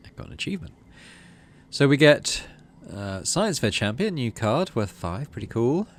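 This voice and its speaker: A man's voice: medium pitch, very animated, normal speed, a little hiss or hum. A man giving casual let's play commentary, reading story text aloud and murmuring to himself as he decides. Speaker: gaming channel host.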